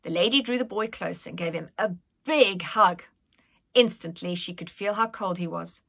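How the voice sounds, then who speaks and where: A woman reading a children's story aloud in an expressive, dramatic manner. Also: fairly low pitch, moderate pace, gravelly, husky. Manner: animated, dramatic.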